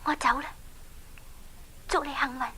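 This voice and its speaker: A gentle, secretive young princess in a radio play. She speaks with a Hong Kong Cantonese accent in a high, bright voice. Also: level tone, moderate pace, a little hiss or hum. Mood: secretive, urgent. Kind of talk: 1980s Cantonese children's audio drama.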